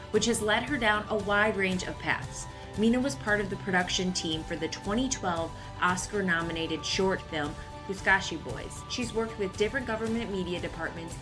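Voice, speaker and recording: Woman podcast narrator over music. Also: medium pitch. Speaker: podcast host. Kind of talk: scripted introduction narration.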